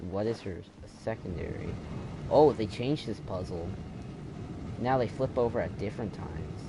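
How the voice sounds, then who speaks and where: Young guy doing casual gaming commentary, kinda low gravelly voice, halting, loud game noise behind. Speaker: gamer, channel host.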